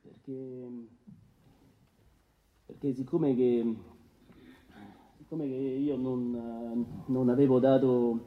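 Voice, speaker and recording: A man playing a muddled, evasive defendant who haltingly repeats himself in a courtroom radio drama. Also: medium pitch, little pitch movement, roomy sound, phone-quality sound. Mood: flustered, evasive. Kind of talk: courtroom docudrama radio acting.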